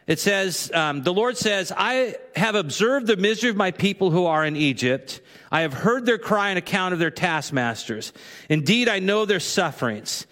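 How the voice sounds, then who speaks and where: A man's voice: high voice, fairly bright tone. A man preaching a conversational sermon to a congregation, now and then acting out the voices of figures he quotes. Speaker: pastor. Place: church sanctuary. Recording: long hall reverb.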